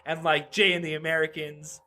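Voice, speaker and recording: A man's voice: a little high, little pitch movement, brisk, bright, forceful tone, a little hiss or hum. A man in his thirties from Nevada, a podcast guest chatting casually, joking and full of "like" fillers and restarts. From Reno, Nevada. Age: 30s. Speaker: podcast guest, web developer. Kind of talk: casual interview chat.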